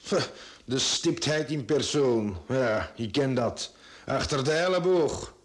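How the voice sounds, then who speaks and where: An actor playing a cheeky, gossipy police subordinate in a radio drama, dry and teasing. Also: male voice, medium pitch, animated, slow pace. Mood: wry, gossipy. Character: wry, gossipy male police subordinate, deferential yet cheeky.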